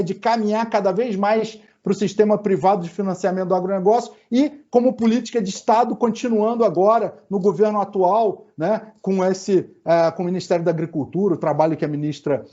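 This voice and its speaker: A man's voice: high voice, a little fast, few pauses, warm tone, lo-fi sound. A man with a Rio de Janeiro accent, a lawyer giving long flowing interview answers, often tagging on "né". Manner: flowing, explanatory, frequent "né". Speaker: lawyer guest.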